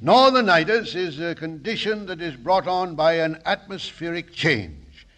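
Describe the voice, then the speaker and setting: A man's voice: on the high side, lively intonation, a little slow, a bit bright. A man playing a scheming fake specialist who gives solemn nonsense diagnoses, in a 1940s radio comedy. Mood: mock-grave. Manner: mock-solemn medical patter. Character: fast-talking fake doctor, scheming and glib. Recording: old radio broadcast.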